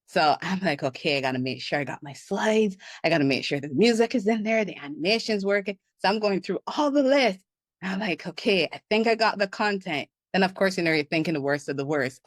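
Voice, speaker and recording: A woman from Barbados, a business consultant talking casually as an interview guest, animated and quick to laugh. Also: low voice, dry recording. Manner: animated, laughs often, acts out her own inner thoughts.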